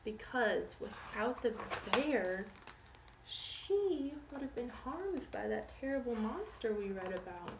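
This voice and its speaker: A woman reads to children like a teacher, expressive and inviting them to answer. Her voice sits at a middle pitch. The recording is roomy and a bit dull, with noticeable noise behind her. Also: slow, distant mic. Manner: expressive storytelling, posing questions to young listeners. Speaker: teacher reading a picture book aloud. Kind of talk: interactive children's read-aloud. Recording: echoey room, muffled, noisy background.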